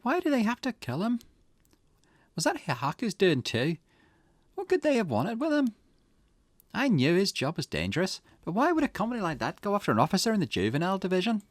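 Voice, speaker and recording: Man voicing a fierce, grieving young woman, swooping pitch. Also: huge pitch swings, fairly quick, no echo, exaggerated voice. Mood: anguished questioning. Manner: indignant, emphatic, dramatic delivery. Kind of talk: acted visual novel dialogue.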